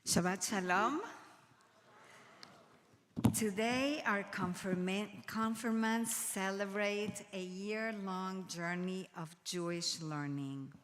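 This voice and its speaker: Woman leading the service, slow and measured, echoing sanctuary sound. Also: mid-range voice, fairly even intonation, fairly bright tone, slight background noise. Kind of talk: formal address at a service.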